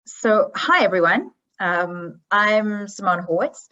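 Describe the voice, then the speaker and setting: A woman's voice: mid-range voice, animated, slow, pushed and bright, lo-fi sound. South African woman giving a casual talk. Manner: conversational, hesitant with frequent ums and restarts. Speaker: history professor. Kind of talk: online academic presentation. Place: holiday home.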